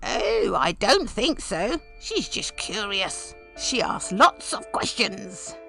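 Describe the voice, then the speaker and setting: A woman's voice: mid-range voice, big pitch swings, takes it slowly, vocal fry, sharp tone, faint music behind, full character voice. A woman voicing a dotty, kindly old grandmotherly storyteller in a comic dub, dreamy and confiding. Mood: gentle, assured. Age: older.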